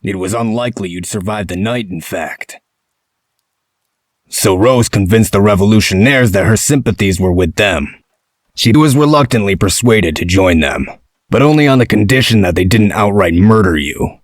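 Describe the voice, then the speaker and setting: An actor playing a gruff, blunt, sardonic and protective man in a comic dub. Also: lowish voice, expressive, moderate pace, no room sound, clean studio sound. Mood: explaining firmly.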